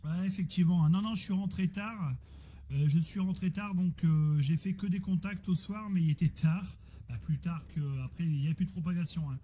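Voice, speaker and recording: A man's voice: sits high, fairly quick, warm tone. A man talking casually as an amateur radio operator over a radio link, repeating himself with frequent tag words. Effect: radio transmission. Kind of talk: casual radio contact chat. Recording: muffled radio signal, faint static.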